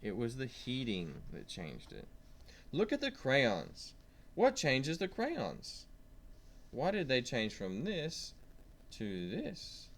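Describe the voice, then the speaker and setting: A man's voice: medium pitch, wildly swooping pitch, slow pace, fairly bright tone. A man reading a science lesson aloud to young children, stressing key words and asking simple questions as he goes. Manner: simple questions and prompts to the listener.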